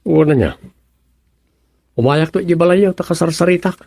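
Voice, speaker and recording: A man's voice: a little high, moderate pace, creaky, a little mellow, dry recording, clean studio sound. A man acting a patient but puzzled helper in a radio comedy, questioning and exclaiming in a northern Filipino accent. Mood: earnest. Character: puzzled, patient man helping a lost elder.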